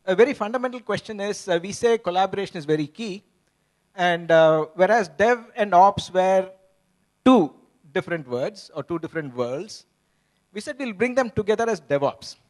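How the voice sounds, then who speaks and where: A man moderates a conference panel with a notably high voice. He speaks in a measured way and pauses often. The sound comes from a handheld microphone on stage. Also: lively intonation.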